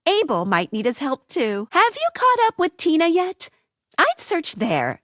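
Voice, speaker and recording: An actress voicing an upbeat, playful female helper in a video game, perky and expressive as she delivers scripted hints to the player. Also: extremely high pitch, a little slow, no room sound, clean studio sound, heavily acted. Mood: encouraging. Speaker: game character guide giving hints.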